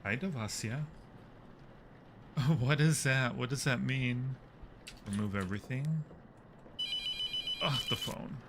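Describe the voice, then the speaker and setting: A man with a mid-pitched voice hosts a casual game stream. He is playful and jumpy, slipping between chatting to viewers and muttering to himself. There is clear noise behind his voice. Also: slow, pauses often. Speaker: live stream host.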